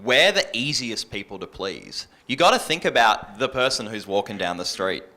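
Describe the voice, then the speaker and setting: Male radio presenter, bright and forward voice, earnest unscripted panel talk with self-corrections. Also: on the low side, sing-song, moderate pace. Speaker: radio presenter and music programmer on an industry panel. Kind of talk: conversational panel advice.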